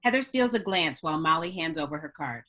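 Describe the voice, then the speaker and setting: A woman's voice: low-pitched, lively intonation, quick pace, pushed and bright, a little hiss or hum. A woman narrating stage directions at a script table read.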